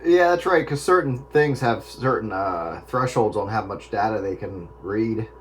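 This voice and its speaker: A man's voice: lowish voice, expressive, a little slow, a little hiss or hum. A man having a casual, unscripted chat over the radio.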